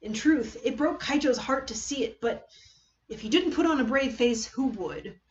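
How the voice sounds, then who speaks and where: Woman narrator, high voice, dramatic fiction reading, expressive, slightly dull lo-fi audio. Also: moderate pace, a little hiss or hum. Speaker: streamer reading fan fiction.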